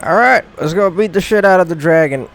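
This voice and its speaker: A woman's voice: on the low side, a little fast, a little hiss or hum. A woman giving casual, informal gameplay commentary, muttering to herself and swearing now and then. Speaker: game streamer.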